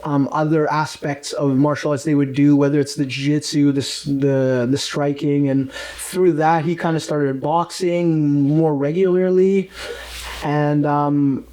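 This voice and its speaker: Rambling young man with a higher voice. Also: little pitch movement, measured pace, dark tone. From Uganda. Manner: rambling, with frequent fillers. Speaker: guest fighter and coach. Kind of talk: casual conversational interview.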